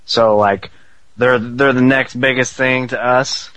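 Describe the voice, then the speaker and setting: A man's voice: medium pitch, steady tone, slow, fairly bright tone, no echo, low-quality recording. A man from the US Northwest co-hosting a podcast, rambling and joking casually. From Spokane area, US Northwest. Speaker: podcast co-host.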